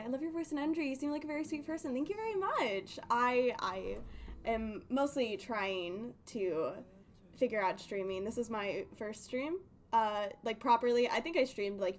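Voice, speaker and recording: A woman's voice: a little high, a little hiss or hum. A woman chatting casually on a game livestream in a rambling, giggly, slightly nervous way. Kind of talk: casual game stream chat.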